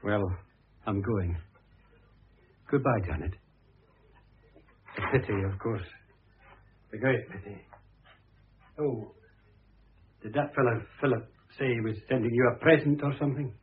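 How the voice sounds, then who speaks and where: An actor with a British accent playing a gruff, wounded middle-aged ex-husband in a vintage radio drama. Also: male voice, medium pitch, a little slow, pauses often. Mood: jealous, sullen. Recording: muffled, phone-like old radio recording.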